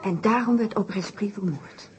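A woman's voice: mid-range voice, normal speed, darkish tone, faint music behind, close to an everyday voice. An actress playing a curious, attentive woman in a Dutch radio play, eagerly prompting a detective. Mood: eager, realising. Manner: eager, prompting with short questions. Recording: dull old radio play recording.

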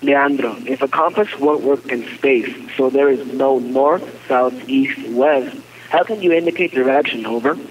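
A man's voice: mid-range voice, normal speed, slight background noise. A male student reading out a prepared question over a radio relay. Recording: dull distant humming radio relay.

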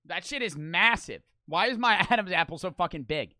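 A young man streaming casually, talking fast and animated with lots of swearing, close to the mic. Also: sits high, no echo.

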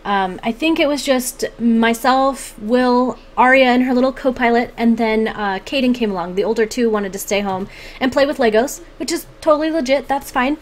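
A woman's voice: on the high side, moderate pace. A woman in her thirties chatting casually and animatedly to the camera as a vlogger. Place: small home room, indoors.

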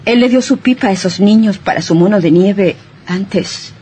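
Actress as fragile, anxious young wife. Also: female voice, mid-range voice, moderate pace, warm tone, a little hiss or hum, lightly acted. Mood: anxious pleading. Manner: pleading, repetitive. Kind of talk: melodramatic radio drama acting.

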